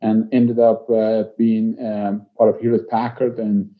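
A man in his sixties from Iran with an Iranian accent, speaking conversationally as an interview guest, with halting phrases and frequent pauses. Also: fairly low pitch, little pitch movement. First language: Persian. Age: 60s. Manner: halting, pausing often mid-phrase. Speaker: interview guest, company executive. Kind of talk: conversational life-story interview. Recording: slightly dull, lo-fi audio.

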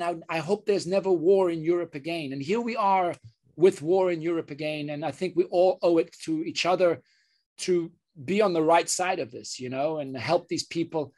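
A man, a surgeon, speaking as an interview guest in an earnest way, often restarting his sentences. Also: a little high. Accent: British. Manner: earnest, halting with many restarts. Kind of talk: interview conversation.

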